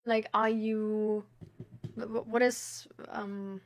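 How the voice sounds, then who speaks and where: A woman's voice: medium pitch, flat delivery, slow pace. A woman livestreamer chatting casually with her viewers in a hesitant, rambling way that often trails off. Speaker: live streamer.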